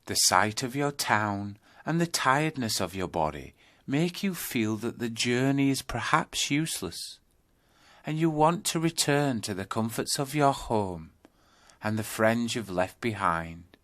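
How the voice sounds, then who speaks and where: A man narrating a scripted guided yoga nidra meditation, telling a journey in measured second-person storytelling. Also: mid-range voice, animated, a bit bright.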